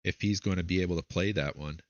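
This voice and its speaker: A man hosts and casts a strategy game tournament in a relaxed, chatty way, with plenty of drawn-out fillers. His voice sits on the low side. The recording is dry and close. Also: no echo, dull audio. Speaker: tournament caster and host. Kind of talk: live game commentary and interview.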